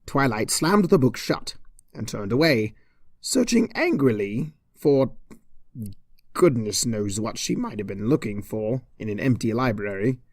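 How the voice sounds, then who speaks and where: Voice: mid-range voice, lively intonation, a little slow, darkish tone, no echo, clean studio sound. A storyteller reading a comedic story as an arch, mock-pompous narrator in a theatrical manner. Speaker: fan fiction reader.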